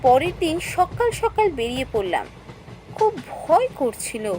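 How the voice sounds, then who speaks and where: High, lively young woman office worker voiced by actress. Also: moderate pace, slight background noise. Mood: anxious. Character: earnest young female office worker narrating her own eerie story. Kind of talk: animated cartoon story acting and narration.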